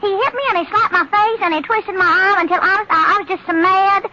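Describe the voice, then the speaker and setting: An actress playing a flighty young Southern belle with a Southern US accent, chattering indignantly in a vintage radio drama. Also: female voice, very high voice, fast talker. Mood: petulant, flustered. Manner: breathless, indignant, repetitive chatter. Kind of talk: 1940s-50s radio acting. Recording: noisy muffled old radio recording.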